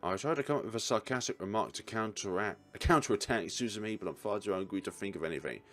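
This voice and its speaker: A man's voice: lowish voice, quick pace, fairly bright tone, soft background music. A man reading story text aloud as a let's play host, with casual reactions and groaning asides.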